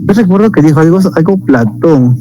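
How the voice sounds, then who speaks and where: A man with a mellow, warm voice. He speaks thoughtfully and likes to explain cultural curiosities in casual conversation. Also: fairly high pitch, expressive, fairly quick, faint music behind, rough recording. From Peru. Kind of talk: casual video chat.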